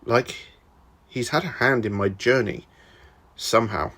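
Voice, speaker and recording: Man voicing a wary, husky-voiced young hero. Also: medium pitch, unhurried, a bit bright. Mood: uncertain. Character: wary, intense male team member. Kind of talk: dramatic audiobook character acting.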